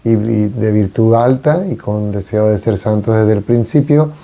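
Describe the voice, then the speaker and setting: A Venezuelan-born priest in his forties talks through his story in a calm, halting way. His voice is very dark and gravelly. He pauses often and picks his words as he goes. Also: on the low side. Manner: unhurried and reflective, many hesitations. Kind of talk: casual conversation on a radio talk show.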